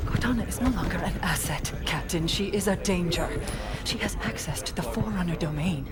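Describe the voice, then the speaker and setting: A woman's voice: fairly low pitch, fairly quick, loud music behind the voice, lightly acted. A woman playing a cold, stern older military scientist in a science fiction game, speaking precisely and severely. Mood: grave warning.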